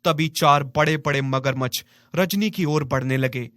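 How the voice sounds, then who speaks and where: A man's voice: a little high, measured pace, a bit bright, no echo, clean studio sound. A man narrating a scripted moral story as a storyteller.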